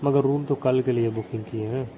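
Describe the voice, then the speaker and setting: A man's voice: medium pitch, steady tone, fairly quick, dark tone, lightly acted. A man with an eastern Hindi-belt accent playing a nervous, curious young brother-in-law, casually seeking advice in a staged phone call. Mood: eager. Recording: noisy phone call recording.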